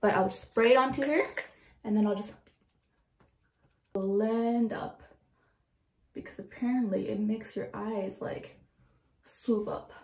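A woman's voice: mid-range voice, slow, long pauses. Chatty young woman vlogging. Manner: chatty, filler-heavy, talks to the viewer. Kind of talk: casual vlog narration.

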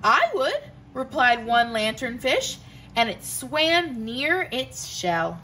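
Bright, lively woman reading a storybook. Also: fairly high pitch, slight background noise. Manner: slow, gentle, expressive reading with voiced quotes. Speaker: teacher reading aloud. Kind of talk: children's storybook read-aloud. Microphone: camera above the book, hands seen turning pages.

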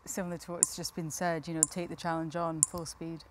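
A woman's voice: sits low, little pitch movement, fairly quick, background noise. A woman in her thirties chatting casually as a guest co-host on a TV talk show. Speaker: guest co-host, company founder. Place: TV studio with sofas. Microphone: lapel mic.

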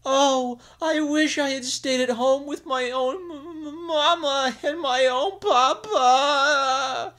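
A man voicing a spoiled young dragon queen who pleads and sobs in a high voice. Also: moderate pace, few pauses. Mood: tearful.

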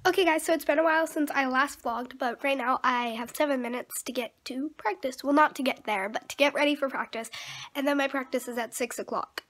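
A woman's voice: sits high, fairly quick, fairly bright tone. A thirteen-year-old girl chatting casually and in a rambling way, as in a home vlog. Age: 13, young teenager. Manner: rambling, informal. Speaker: vlogger. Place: rooms at home, indoors. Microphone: camera at arm's length or propped nearby. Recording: noisy handheld home recording.

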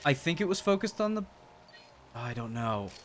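A man's voice: medium pitch, sing-song, moderate pace. A man in his thirties bantering casually and profanely as he co-hosts a video game stream. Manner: playful, profane, bursts into song snippets. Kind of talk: casual banter over gameplay. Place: indoor streaming setup. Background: game music.

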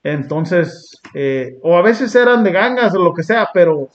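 A man from Long Beach, California, hosting a podcast and talking in an earnest, reflective way with blunt street slang. He sits on a small dark indoor studio set. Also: high voice, animated, measured pace. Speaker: podcast host. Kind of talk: casual reaction chat. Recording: muffled lo-fi sound.

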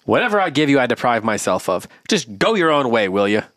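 A man's voice: medium pitch, very animated, fairly quick, a bit bright, no room sound, studio quality. A man voicing a stingy second son who dismisses a beggar. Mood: dismissive.

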